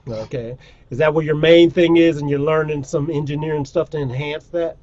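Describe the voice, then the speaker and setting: A man hosts a casual chat over a video call, laid-back and encouraging. His voice sits fairly high for a man. The sound is dull and lo-fi, like video call audio. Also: fairly even intonation, brisk, a little mellow. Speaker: host.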